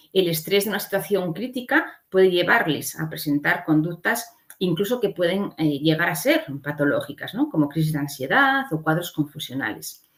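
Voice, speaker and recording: A woman from giving an explanatory slide lecture as a conference speaker, often adding short checking tags. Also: on the low side.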